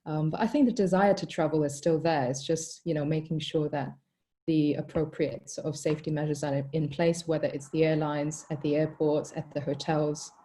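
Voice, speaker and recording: A young woman with a low, mellow voice talks about her hotel business in a relaxed interview. She is from Hong Kong, Italy and Malaysia. She thinks aloud, with plenty of ums and "you know". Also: normal speed. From born in Hong Kong, raised in Italy and Malaysia. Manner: thoughtful, many ums and "you know" fillers. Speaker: hotel co-founder, guest. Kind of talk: casual interview answers.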